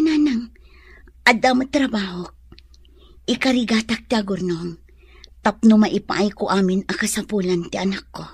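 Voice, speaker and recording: A woman's voice: mid-range voice, darkish tone, breathy edge, dry recording, exaggerated voice. An actress with an Ilocano accent playing a weary but determined young mother, emotional and sighing, in a radio melodrama. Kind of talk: melodramatic Filipino radio drama acting.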